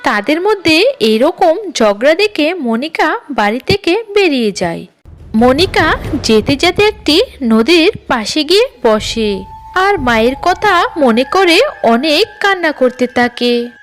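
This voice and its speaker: Woman, cartoon story narrator, high pitch, scripted delivery, faint music behind. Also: very animated, slow pace.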